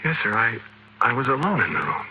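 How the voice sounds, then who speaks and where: An actor playing a shaken, haunted man who confides a ghostly encounter in halting, emphatic phrases, in the style of old-time radio horror. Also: medium pitch, big pitch swings, slightly rough, lo-fi sound, soft background music. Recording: vintage radio recording.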